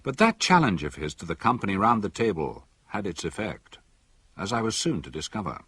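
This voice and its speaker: Mystery narrator: English doctor, measured, reflective, first person. Husky, strongly varied pitch, dry sound. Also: male voice, big pitch swings, fairly bright tone, dry recording, heavily acted. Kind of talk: scripted first-person narration in radio drama.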